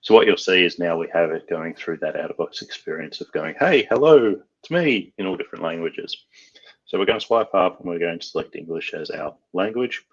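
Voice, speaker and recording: A man from Australia leads an IT training session, talking through each setup step in a relaxed, loose way with plenty of "um"s. His voice sits very low and a little husky. The audio sounds muffled and lo-fi. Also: fairly quick. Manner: casual, thinks aloud with fillers. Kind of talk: screen-share tech tutorial.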